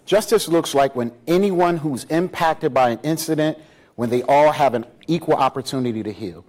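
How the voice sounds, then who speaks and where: Measured man speaking on a panel. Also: a little high, lively intonation, darkish tone. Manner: measured, with fillers and restarts. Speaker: panelist on justice reform. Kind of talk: panel discussion. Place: on stage at a live event.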